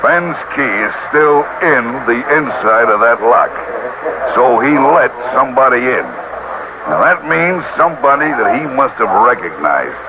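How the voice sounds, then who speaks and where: A blunt, suspicious police lieutenant in a vintage radio crime show. His voice is mid-pitched and his manner gruff and insinuating. The sound is dull and thick with heavy noise. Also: very animated, unhurried. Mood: accusing. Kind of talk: old-time radio detective drama acting. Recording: muffled, very noisy vintage radio recording.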